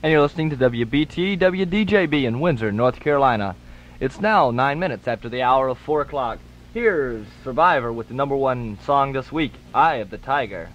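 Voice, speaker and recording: A man's voice: mid-range voice, lively intonation, moderate pace. A man working as a radio DJ, announcing songs, times and station identification live on air. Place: radio studio. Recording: dull lo-fi 1980s radio aircheck tape. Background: music.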